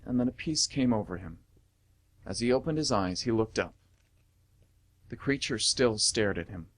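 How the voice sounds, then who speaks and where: A male narrator reads a story for radio theatre. His voice is mid-pitched, and he delivers the scripted prose as dramatic storytelling.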